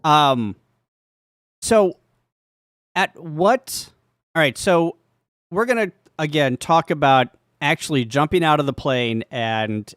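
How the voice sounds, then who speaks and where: A man hosting a casual live interview, haltingly rephrasing his questions as he goes. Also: on the high side, clean tone, no echo, studio quality. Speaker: host, interviewer.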